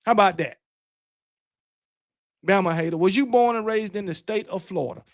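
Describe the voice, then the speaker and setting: A man's voice: high voice, normal speed. A man from Alabama with a Southern US accent, hosting a casual sports talk show in a blunt, emphatic, argumentative manner, often repeating himself. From Alabama, United States. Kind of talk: casual live sports debate.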